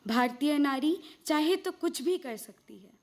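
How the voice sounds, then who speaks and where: Female stage presenter, high pitch, slow formal declamation of a memorised script. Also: animated. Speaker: stage presenter in a school performance. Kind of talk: recited scripted narration.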